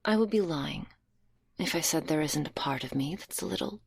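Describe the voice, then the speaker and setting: A woman's voice: low-pitched, moderate pace, creaky, husky, no room sound, full character voice. A woman playing a stern, teasing, domineering school librarian, scolding sarcastically in an intimate, half-whispered ASMR roleplay on a close binaural microphone. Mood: mocking, teasing.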